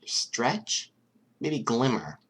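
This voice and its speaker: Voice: mid-range voice, sing-song, very slow, fairly bright tone, breathy edge, voice pushed up, heavily acted. A reader voicing a young male villain strategist who taunts his audience with mock cheer and cold menace. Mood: taunting, confident. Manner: mock-cheerful, taunting, turning deadly serious.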